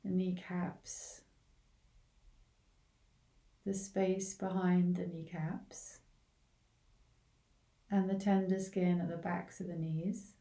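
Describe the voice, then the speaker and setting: A woman leading a guided body scan meditation in a gentle, unhurried way, leaving long pauses between short phrases. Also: lowish voice, little pitch movement. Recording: roomy, echoing, distant from the mic.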